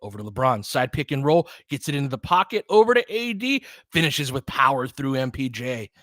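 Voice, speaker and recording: An American man with a mid-pitched voice hosts a casual basketball stream. He talks conversationally and analytically, like someone explaining the game to a chat while calling it. The recording is dry, with very little room sound. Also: very animated, brisk, fairly bright tone, dry recording. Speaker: basketball commentator and host of a live stream. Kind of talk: casual live play-by-play commentary with chat.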